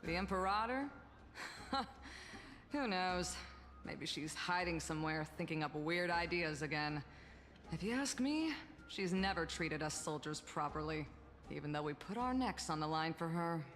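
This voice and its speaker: A woman's voice: on the low side, animated, unhurried, fairly bright tone, faint music behind. A woman voicing a brash, irreverent, hard-drinking female soldier in a video game. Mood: cocky, defiant. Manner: cocky, irreverent. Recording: game dialogue with long reverb.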